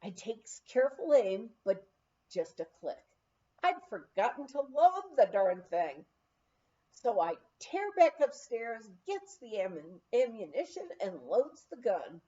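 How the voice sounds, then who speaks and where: A woman reading aloud as an excitable rural mountain man telling a folksy hunting story. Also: very high-pitched, takes it slowly, highs cut off, heavily acted. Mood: excited, folksy.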